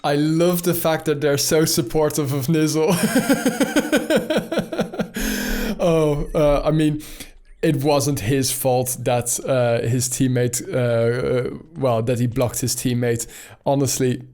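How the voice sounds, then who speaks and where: Chuckling Dutch-native esports caster. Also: male voice, on the high side, darkish tone. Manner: chatty, laughs often, halting with fillers. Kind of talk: live game commentary.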